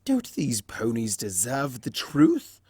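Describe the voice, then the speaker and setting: A man's voice: medium pitch, wildly swooping pitch, slow pace, a little mellow, slightly rough, no echo, studio quality, full character voice. A man voicing a mischievous, smug male chaos creature from a cartoon fan story, theatrical and mocking, drawing out his words. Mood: smug teasing.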